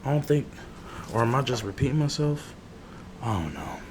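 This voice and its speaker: A man's voice: medium pitch, big pitch swings, moderate pace. A man chatting casually in slang as an ASMR barber, rambling in a familiar way. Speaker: ASMR barber roleplay creator. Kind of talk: ASMR roleplay with casual chat. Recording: clear background noise.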